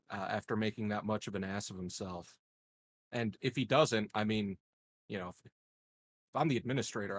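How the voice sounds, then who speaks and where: A man's voice: lowish voice, no echo. A man playing a tabletop roleplaying game, chatting in an informal, joking way. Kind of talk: casual chat.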